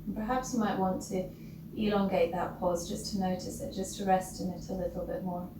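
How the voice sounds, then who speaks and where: A woman leading a guided breathing meditation as a teacher, gentle and instructional, leaving long pauses between short phrases. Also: fairly low pitch, steady tone. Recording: roomy, distant mic with loud background noise.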